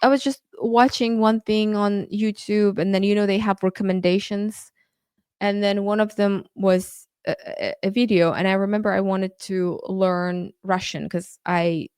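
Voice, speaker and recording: A woman's voice: medium pitch, steady tone, a little mellow, clean tone, no room sound, studio quality. A woman whose first language is Uzbek, talking earnestly in a podcast monologue, often stopping and restarting her sentences. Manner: earnest, halting with frequent restarts. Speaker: podcast host. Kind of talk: casual monologue.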